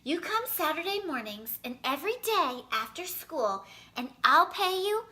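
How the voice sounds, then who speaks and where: A woman's voice: high voice, very animated, unhurried, pushed and bright, full character voice. A woman voicing a friendly, good-humored male farmer in a storybook, folksy and encouraging. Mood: instructing.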